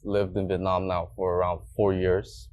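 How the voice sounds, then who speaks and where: A man's voice: low-pitched, monotone, normal speed, a little mellow. A man from Sweden speaking as a guest in a casual interview in a coworking space, easygoing and full of fillers. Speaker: guest, startup co-founder.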